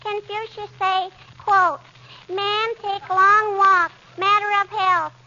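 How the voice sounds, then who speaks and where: A woman's voice: extremely high pitch, flat delivery, slow pace, pushed and bright, full character voice. A woman playing a cheeky, chatty little girl who pesters with questions in an old radio comedy. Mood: cheeky, playful. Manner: pestering, says "hmm?" and "I betcha". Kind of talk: 1940s radio comedy acting. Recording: muffled old radio recording, hiss, studio audience.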